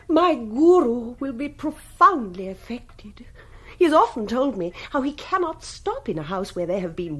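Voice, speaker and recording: An actress playing a grand, affected society hostess with an upper-class English accent, lofty and theatrical, in a radio comedy. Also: female voice, a little high, husky. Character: grand, affected, self-important society queen. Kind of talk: radio comedy drama acting.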